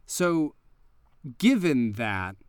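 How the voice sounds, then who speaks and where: A man narrating a tabletop horror game as game master, setting scenes in a deliberate, cinematic way. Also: medium pitch, big pitch swings, clean tone, no room sound, studio quality.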